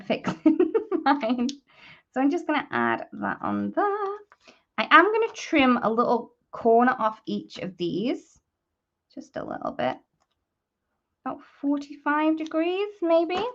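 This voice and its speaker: A woman's voice: a little high, muffled recording. A woman hosting a live craft tutorial, chatting informally to viewers and thinking aloud as she works. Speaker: host of a live papercrafting demonstration.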